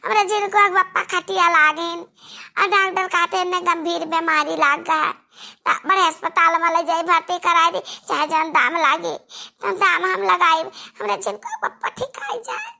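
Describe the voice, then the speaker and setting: A woman's voice: extremely high pitch, expressive, moderate pace, vocal fry, bright, forceful tone, exaggerated voice. A woman voicing a melodramatic, scheming rustic village woman in an Awadhi-accented comedy cartoon. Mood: pleading.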